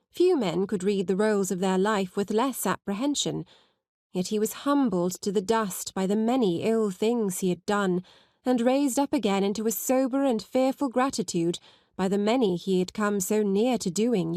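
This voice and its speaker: A woman narrates a classic novel in a mid-range voice, recorded dry and close. Her scripted delivery is measured and articulate. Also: medium pitch, darkish tone, dry recording. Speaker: audiobook narrator.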